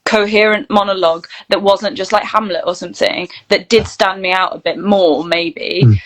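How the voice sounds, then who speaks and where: A woman's voice: mid-range voice, moderate pace. Chatty Englishwoman in casual interview. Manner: chatty, anecdotal, peppered with "like". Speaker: guest, actor and voice reel producer.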